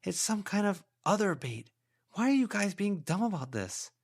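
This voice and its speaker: A man's voice: high voice, big pitch swings, moderate pace, gravelly, voice pushed up, full character voice. A man voicing a blunt, sceptical young girl with a casual way of talking, in an audiobook. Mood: blunt, exasperated. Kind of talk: audiobook character voice.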